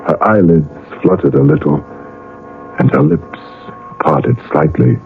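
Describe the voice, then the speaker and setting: Anguished dad, deep voice, slow deliberate pace, brooding, radio play, faint music behind. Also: male voice, very animated, gravelly, exaggerated voice. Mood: grave, suspenseful. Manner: slow, deliberate, intense delivery. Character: anguished father Max, brooding, guilt-ridden, driven to violence. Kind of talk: radio drama acting and first-person narration. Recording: muffled phone-like old radio, faint music.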